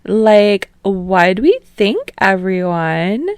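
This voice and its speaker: Bubbly young talk show host with a bright, lifted voice that stretches its words playfully, as a woman reader puts her on. The lines come out loud and gushing, full of showbiz sparkle. A dry, close recording with a touch of gravel at the bottom. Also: mid-range voice, animated, extremely slow, no room sound, voice pushed up. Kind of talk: fan fiction audiobook reading.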